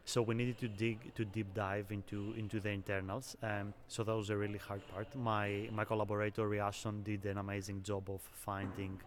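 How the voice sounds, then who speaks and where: A young man from Greece, a software engineer, calmly explaining technical work in an interview. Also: fairly low pitch, moderate pace, hardly any pauses. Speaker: software engineer, conference speaker. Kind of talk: interview answers.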